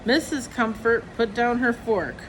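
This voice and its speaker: A woman narrates a children's storybook in a fairly high voice. She goes very slowly and deliberately, giving the characters' lines a little lift. There is faint noise behind her. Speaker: storybook reader. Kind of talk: read-aloud children's picture book narration.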